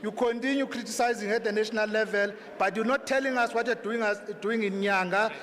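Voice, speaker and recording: A South African man making a political speech from the floor of a public debate, haltingly, repeating words and addressing people as comrades. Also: high voice, fairly even intonation, a bit bright. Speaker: audience member, treasurer of a local political branch. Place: large hall at a town hall meeting. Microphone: handheld microphone held for the speaker. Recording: noisy, reverberant hall PA.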